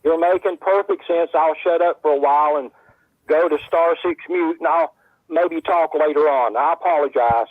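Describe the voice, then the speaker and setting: A man's voice: fairly high pitch, lively intonation, moderate pace, no echo. A man calling in to a talk show, blunt and heated, repeating himself as he talks. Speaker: caller. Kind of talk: casual call-in chat. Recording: tinny phone line.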